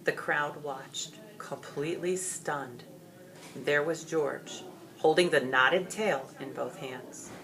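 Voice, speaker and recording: A woman reading a story aloud as expressive scripted narration. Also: sits low, slow pace, slight background noise. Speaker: storybook reader.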